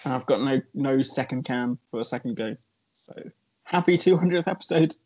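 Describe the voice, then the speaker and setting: A man's voice: medium pitch, moderate pace, a little mellow, breathy edge, poor audio. A man co-hosting a podcast in casual, comic banter. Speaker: podcast co-host.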